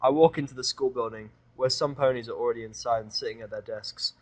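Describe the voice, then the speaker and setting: A man's voice: mid-range voice, fairly even intonation, fast talker. A man narrating a first-person story as an amateur reader, in a wry, informal manner.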